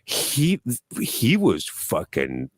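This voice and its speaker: A man with a fairly low voice that swings widely in pitch, talking loosely and profanely on a live online chat. He tells stories with drawn-out, emphatic phrases. The sound is close and dry. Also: wildly swooping pitch, no room sound. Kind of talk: casual live panel chat.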